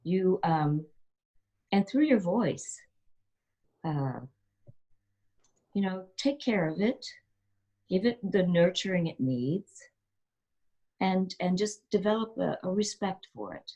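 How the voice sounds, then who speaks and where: Middle-aged female yoga teacher, lowish voice, encouraging interview guest. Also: lively intonation, slow, long pauses, mellow, dull audio. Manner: earnest, encouraging, repeats key phrases. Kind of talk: interview answers, giving teaching advice.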